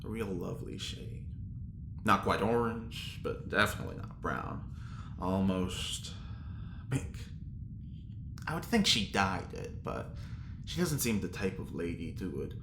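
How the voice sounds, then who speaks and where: An actor playing a vain, gossipy dandy in a spaceship audio drama, theatrical and catty. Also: male voice, deep voice, lively intonation, measured pace, gravelly, long pauses, echoey room, background noise, full character voice. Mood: gossipy, admiring.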